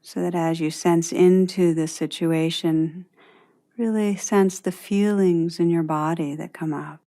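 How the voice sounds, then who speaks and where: Slow, lower-pitched woman guiding meditation. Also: fairly even intonation. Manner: gentle, deliberate, spacious pauses between phrases. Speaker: meditation guide. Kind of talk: guided meditation narration.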